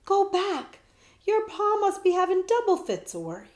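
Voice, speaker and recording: A woman voicing a brash street boy who talks loud and cocky in slang. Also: extremely high pitch, big pitch swings, moderate pace, roomy sound, voice pushed up. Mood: scolding.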